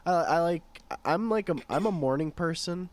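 A young man with a hoarse voice from a sore throat, chatting in an easygoing way, laughing often and restarting his sentences. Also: a little high, moderate pace. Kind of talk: casual chat.

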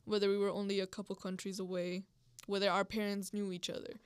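A young woman who grew up in Mexico, a native Spanish speaker, talks candidly and loosely, full of ums and likes, as she tells a personal story. Her voice sits at a middle pitch and is close and dry, with no room sound. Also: flat delivery, fast, clean tone, no room sound. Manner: candid, storytelling, lots of fillers. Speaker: podcast co-host. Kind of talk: casual chat.

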